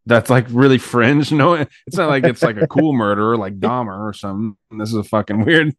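A male podcast host chats casually at a medium pitch. His talk is slangy and peppered with swearing, recorded close and dry with no room sound. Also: fairly quick, no echo. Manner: profane, slangy. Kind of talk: casual chat.

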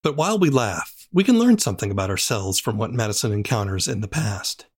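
A man's voice: fairly low pitch, animated, fairly quick, breathy edge. A man hosting a podcast about audio drama. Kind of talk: podcast interview and scripted outro.

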